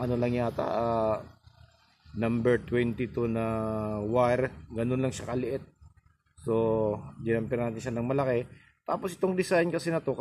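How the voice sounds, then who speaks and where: A man with a Filipino accent giving a casual, halting, explanatory repair walkthrough as a technician hosting his own video. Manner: halting, explanatory, with frequent check-in tags. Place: repair spot beside a highway. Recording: noisy highway traffic behind voice. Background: loud vehicle and traffic noise.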